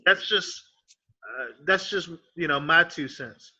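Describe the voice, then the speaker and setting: A man moderating an online teachers' discussion, animated and stumbling over his first words, heard over a video call. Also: on the high side, slow, slightly rough, muffled recording. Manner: animated, stammers on starts.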